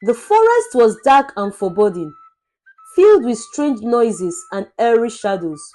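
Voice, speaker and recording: A woman narrating a scripted folktale in a dramatic, deliberate storytelling manner. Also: fairly high pitch, big pitch swings, warm tone, poor audio. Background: background music.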